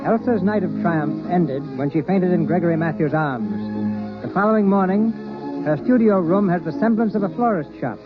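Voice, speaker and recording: A man presents an old radio drama over loud music. His voice is slightly high and formally theatrical. The broadcast is dull and lo-fi. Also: sing-song, normal speed. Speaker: host and narrator. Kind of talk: formal 1930s radio narration.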